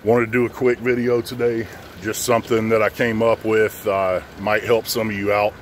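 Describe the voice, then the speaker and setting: A man's voice: fairly low pitch, flat delivery, moderate pace. A middle-aged American man giving an informal, plain-spoken, unscripted how-to talk, filming himself outdoors on a handheld phone. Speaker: pressure washing business owner and channel host. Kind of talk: casual how-to vlog, unscripted. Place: outdoors in a residential driveway. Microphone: handheld phone at arm's length. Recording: outdoor phone audio with light background noise.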